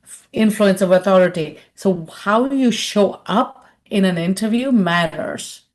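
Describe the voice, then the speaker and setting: A woman's voice: mid-range voice, measured pace, a little mellow. A middle-aged woman from India answering a question in a webinar, talking conversationally and acting out quoted voices over a video call. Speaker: webinar speaker and consultant.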